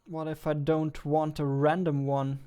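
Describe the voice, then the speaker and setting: Higher-voiced young man, smooth and even. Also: a little slow. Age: young adult. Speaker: indie game developer vlogging. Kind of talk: casual work-along commentary, thinking aloud. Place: indoors at a computer desk.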